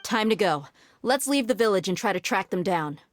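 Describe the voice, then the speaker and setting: A woman's voice: medium pitch, expressive, normal speed, slight background noise, natural voice. A woman voicing a confident, determined young mercenary in a video game, assertive and direct. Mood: brisk resolve.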